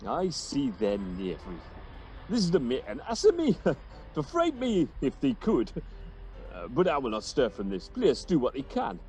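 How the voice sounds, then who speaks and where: Actor doing a cocky donkey-headed village fool, mellow, swoops all over, radio play comedy. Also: mid-range voice, wildly swooping pitch, moderate pace. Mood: blustering bravado. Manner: blustering, chuckling, wildly swooping delivery. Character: cocky, foolish rustic man with a donkey's head. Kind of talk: comic Shakespearean radio play acting.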